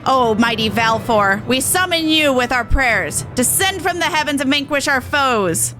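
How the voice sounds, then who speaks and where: A woman's voice: sits high, expressive, unhurried, over music. Woman playing a pompous, theatrical villain band leader. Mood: grandiose. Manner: theatrical, grandiose.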